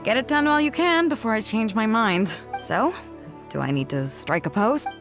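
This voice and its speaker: A woman's voice: a little high, lively intonation, moderate pace. A woman voicing a bold, proud female ship captain in a video game, confident and teasing, with a theatrical delivery. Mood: playful, impatient. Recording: music under the voice. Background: background music.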